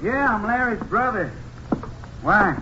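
A man's voice: sits high, big pitch swings, slow, heavily acted. An actor playing an evasive, folksy rural Texas man, defensive about his brother, in a 1950s radio drama. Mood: casual, evasive. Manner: folksy, colloquial, dropped subjects and double negatives. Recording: lo-fi 1950s radio, faint noise.

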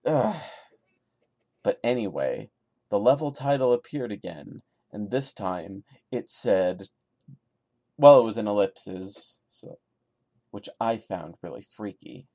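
A man's voice: on the low side, lively intonation, slow pace, vocal fry, long pauses, darkish tone, no echo, studio quality. A man hosting a podcast, giving a dramatic reading broken up by sarcastic, exasperated asides. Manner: sarcastic, exasperated commentary breaking into the narration. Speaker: podcast host.

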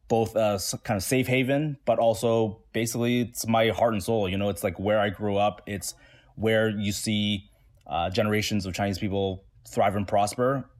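A man from Toronto answering interview questions in a relaxed way, with many fillers and restarts. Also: on the low side. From Toronto, Canada. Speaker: interview guest.

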